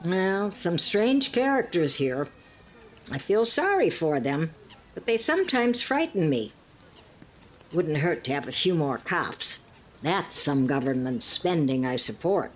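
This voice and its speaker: A woman performs an elderly lady in a radio-play style, genteel and passive-aggressive. Her delivery is slow and very expressive, on the lower side for a woman. She sighs and needles politely, with some noise behind her. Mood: fretful, judgmental. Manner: prim, sighing, pointedly polite barbs. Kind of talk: scripted two-hander audio comedy-drama.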